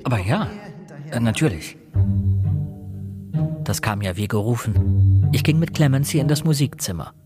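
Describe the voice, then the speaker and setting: An earnest, self-doubting young investigator played by a male actor narrates a radio crime drama. His mid-pitched voice sits over clearly audible music. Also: animated, moderate pace, pauses often, natural voice. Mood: earnest, reflective. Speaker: narrator and protagonist.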